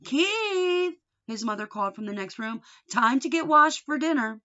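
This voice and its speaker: A woman's voice: sits high, expressive, normal speed, bright, forceful tone, muffled recording, voice pushed up. A woman voicing a fretful, reluctant mother on a family trip in a storybook read-aloud. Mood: calling out.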